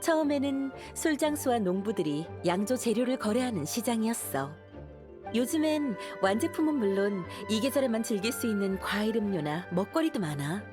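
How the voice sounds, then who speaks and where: A woman's voice: on the high side, big pitch swings, moderate pace, over music. A woman playing an earnest, dutiful young knight leader in an anime game, composed and formal as she explains. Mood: informative. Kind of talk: Korean anime game voice acting.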